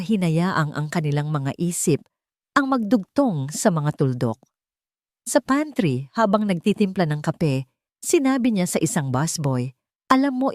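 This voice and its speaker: A woman's voice: fairly low pitch, a little mellow, no room sound, clean studio sound. A woman with a Filipino accent narrating a scripted drama story in a theatrical, emotive way.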